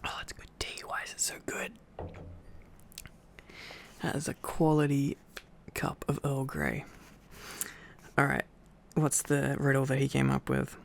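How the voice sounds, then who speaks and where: A man reading a bedtime story in a sleepy, informal ASMR style, with relaxed rambling asides. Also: mid-range voice, measured pace, creaky, pauses often, slight background noise.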